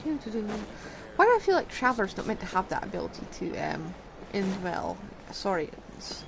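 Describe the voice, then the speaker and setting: A woman's voice: mid-range voice, very animated, a little slow. A woman streaming a game with casual, musing, somewhat hesitant commentary. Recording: clear background noise and game sound.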